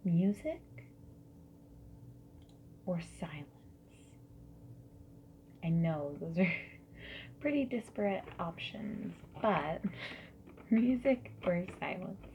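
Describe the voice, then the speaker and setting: Woman, soft-spoken ASMR, whispery, slow pace, gentle friendly questioning, dull lo-fi recording. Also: lowish voice, expressive. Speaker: ASMR roleplayer playing a survey interviewer. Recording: lo-fi muffled sound with background noise.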